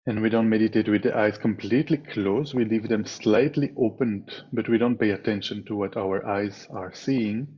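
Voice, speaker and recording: A man leading a guided meditation as a teacher, speaking in a measured way with pauses between short phrases over a slightly dull video call. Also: on the low side, darkish tone, slightly rough. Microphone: video call microphone.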